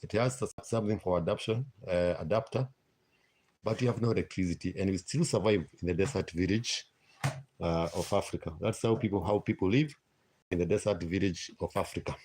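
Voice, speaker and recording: Man, Kenyan accent, low-pitched, halting casual chat, lots of fillers, phone stream. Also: a little mellow, slightly rough. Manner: hesitant, frequent "uh" fillers, repeats himself. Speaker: live streamer.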